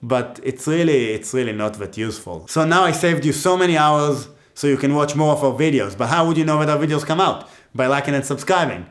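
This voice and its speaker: A man's voice: a little high, lively intonation, a little fast, hardly any pauses. A man with an Israeli accent teaching music, talking casually and joking as he gives advice. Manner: chatty and joking, with many asides. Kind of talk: casual teaching talk to camera.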